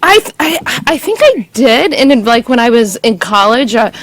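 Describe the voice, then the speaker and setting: A woman co-host with a faint Southern accent, chatting lively and laughing easily on a studio show. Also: on the high side, moderate pace. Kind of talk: casual studio chat.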